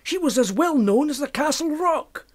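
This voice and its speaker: A man's voice: very high-pitched, lively intonation, normal speed, pitched above the performer's own voice. Audiobook role: horrified young male student, raised voice, emphatic protests, acted by a man. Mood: anxious. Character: agitated, horrified young medical student, male, earnest and alarmed.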